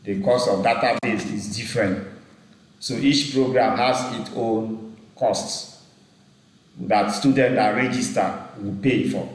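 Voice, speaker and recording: A man teaching a database class on a video call, speaking slowly, repeating himself and pausing to check the chat. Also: on the low side, steady tone, heavy reverb, low-quality recording. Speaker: instructor. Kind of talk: live online class teaching. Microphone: computer mic on a video call.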